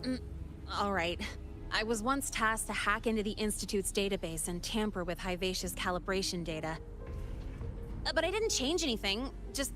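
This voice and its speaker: A woman's voice: mid-range voice, lightly acted. An actress playing a confident, playful young tech-expert woman in a video game, talking in a casual, explanatory way. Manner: casual, self-assured, explanatory. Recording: music behind the voice.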